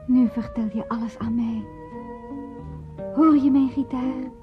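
High-voiced girl over guitar. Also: steady tone, measured pace. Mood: quietly insistent. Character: dreamlike guitar-playing girl, quietly insistent questioner. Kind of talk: radio play acting. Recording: dull archive radio recording. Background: plucked guitar.

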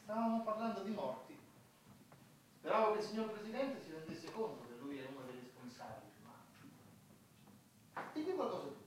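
An actress playing a bitter, outspoken clerk of the war dead, emphatic and complaining in a theatrical radio drama style. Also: female voice, fairly low pitch, big pitch swings, a little fast, long pauses. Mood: reproachful. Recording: distant echoey room, clearly noisy.

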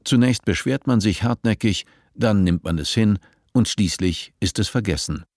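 A man narrating a dystopian radio play in a measured, detached, literary manner. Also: fairly low pitch, a little mellow, no echo, studio quality. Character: male narrator of a dystopian story.

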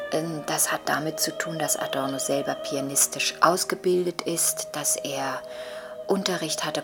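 An older woman giving reflective scholarly interview commentary, hesitantly searching for precise words. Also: deep voice. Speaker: writer and commentator interviewed on Adorno.

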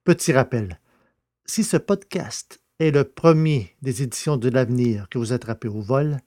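A man hosting a podcast and narrating a story in a scripted, deliberate manner. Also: mid-range voice, expressive, darkish tone.

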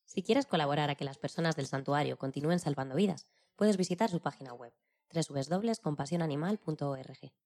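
Female narrator, deep soft-toned voice, scripted storytelling for a radio programme. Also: fairly quick.

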